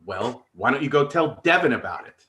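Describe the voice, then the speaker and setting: A man acts out a middle-aged office-working dad in a comedy table read. He is flustered and sheepish, with nervous hesitations and a mid-pitched voice that jumps around a lot. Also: animated, a little fast, bright, forceful tone. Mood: hurt, subdued.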